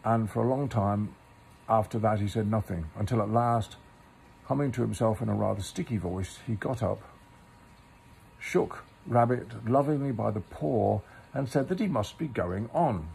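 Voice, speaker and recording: A man's voice: fairly low pitch, moderate pace, vocal fry, darkish tone, a little hiss or hum, poor audio. A man narrating a children's story aloud, with explanatory asides to the listener. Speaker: storybook reader.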